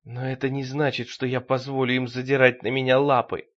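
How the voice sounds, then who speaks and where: A man voicing a frightened, weary older priest with quiet defiance, hushed and subdued in a horror audiobook. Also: mid-range voice, level tone, fairly quick, lo-fi sound, natural voice. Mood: wary, defiant.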